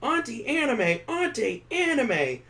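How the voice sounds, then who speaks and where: Guy doing a little kid voice for a visual novel, excitable, pitched way up. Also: slow pace, full character voice. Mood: cheeky, excited. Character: excitable little children reciting riddles and squealing.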